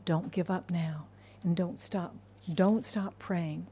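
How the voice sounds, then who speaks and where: A woman's voice: fairly low pitch, fairly even intonation, slow, mellow, slight background noise. A woman from the United States preaching a sermon, emphatic and repeating her key phrases for the congregation. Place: church in Hong Kong.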